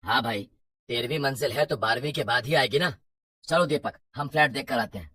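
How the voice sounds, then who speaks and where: A woman voicing a condescending male property broker, matter-of-fact and bossy, in an animated horror story. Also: a bass voice, a little fast, slightly rough, no room sound, voice pushed down, full character voice. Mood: dismissive.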